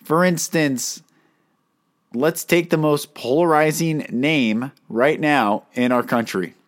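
A man's voice: a little high, slow. A man hosting a solo talk episode, earnest and emphatic, asking his listeners questions; he cannot roll his R's. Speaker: podcast host and life coach.

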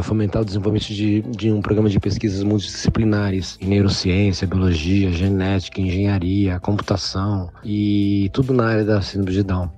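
A man giving an explanatory recorded statement, pausing to restart phrases and adding tag questions. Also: on the low side, warm tone, slightly rough. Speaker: foundation representative.

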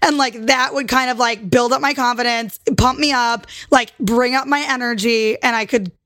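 A woman's voice: fairly high pitch, fairly even intonation, moderate pace, fairly bright tone, no echo. A woman hosting a podcast interview, upbeat and encouraging, who swears casually. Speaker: podcast host.

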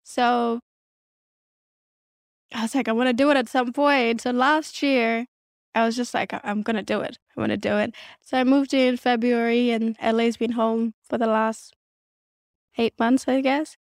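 A woman's voice: fairly high pitch, fairly even intonation, normal speed, clean tone, dry recording. Young woman, from South Sudan, earnest, reflective, dry close sound. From South Sudan, raised in Kenya and Australia. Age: young adult. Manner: reflective, earnest, frequent restarts. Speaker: interview guest, model. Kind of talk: candid conversational interview.

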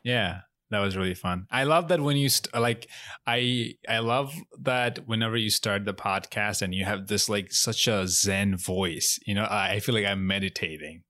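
Giggly low-voiced man from Bangladesh. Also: moderate pace, pushed and bright, no echo, clean studio sound. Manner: upbeat, giggly, rambling. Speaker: guest comedian. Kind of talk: casual podcast chat over a call. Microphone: remote call.

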